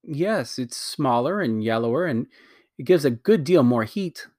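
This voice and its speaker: A man's voice: mid-range voice, animated, a little slow, darkish tone. A man voicing a curious, earnest young boy who asks eager questions.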